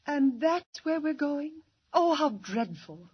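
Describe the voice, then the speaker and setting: A woman's voice: high voice, very animated, slow pace, heavily acted. An actress playing a devoted, worried alien wife and mother in an old-time radio drama, speaking in an earnest, melodramatic way. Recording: dull, noisy old radio recording.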